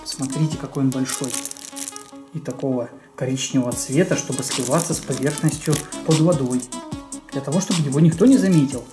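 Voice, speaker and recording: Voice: medium pitch, brisk, reverberant, over music. An adult co-host presenting a children's toy unboxing video and narrating each step.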